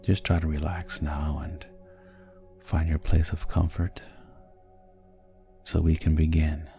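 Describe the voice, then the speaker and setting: A man narrating a guided sleep hypnosis in a soothing, gentle and measured manner. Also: extremely low pitch, flat delivery, gravelly, faint music behind.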